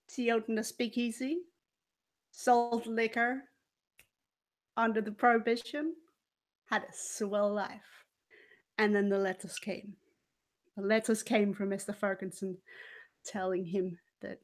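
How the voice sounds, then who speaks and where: A woman telling a story in character as a haughty, scheming former cabaret dancer, theatrical and deliberate with dramatic pauses and swells. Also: mid-range voice. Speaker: player in a murder mystery role-playing game.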